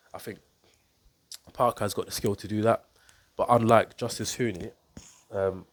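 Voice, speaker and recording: A man with a blocked-up nose from a cold, giving a casual boxing breakdown to camera as a coach and YouTuber, thinking aloud with ums and restarts. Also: low-pitched. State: congested with a cold.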